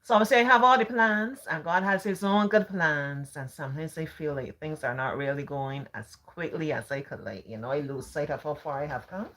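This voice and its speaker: A calm Barbadian woman hosting a live stream, talking casually in a gentle, sing-song way. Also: sits low, moderate pace, few pauses.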